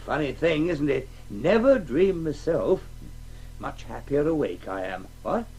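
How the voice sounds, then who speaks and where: A man's voice: on the high side, very animated, unhurried, darkish tone, faint music behind. A man with a British accent playing a hearty, blustering, conventional chap in a radio play, often repeating himself. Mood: jovial. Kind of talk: 1980s radio drama acting.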